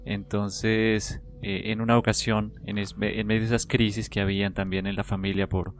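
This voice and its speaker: A Colombian man with a lowish voice talks casually, as on a podcast panel. His delivery is halting, doubling back on words as he reminisces. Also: level tone, slight background noise. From small town in Colombia.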